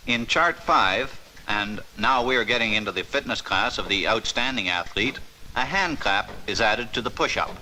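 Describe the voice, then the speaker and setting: A man giving a formal, measured, scripted narration in the style of a 1950s instructional film. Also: fairly low pitch, animated, pushed and bright, slight background noise, low-quality recording.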